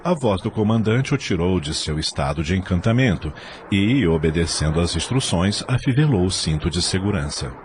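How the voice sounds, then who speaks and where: A man's voice: deep voice, lively intonation, moderate pace, noisy background. A man narrating an audio novel in a scripted storybook style.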